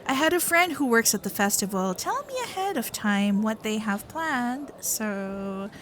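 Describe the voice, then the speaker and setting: Woman acting a teasing kitsune girlfriend, lively and unhurried. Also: fairly high pitch. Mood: warm, excited.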